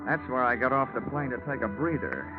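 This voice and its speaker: A man's voice: medium pitch, very animated, brisk, music in the background, natural voice. A man with an American accent playing a wry, cocky insurance investigator in a 1940s radio detective drama, wisecracking in a dry, clipped way. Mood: wry storytelling. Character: wry, hard-boiled freelance insurance investigator, cocky and slangy. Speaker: lead actor and first-person narrator. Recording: tinny, band-limited 1949 radio recording.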